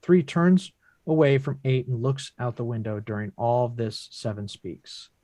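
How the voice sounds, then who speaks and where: A man's voice: medium pitch, fairly even intonation, moderate pace, warm tone, no room sound. A man reading stage directions as scripted narration for a drama table read.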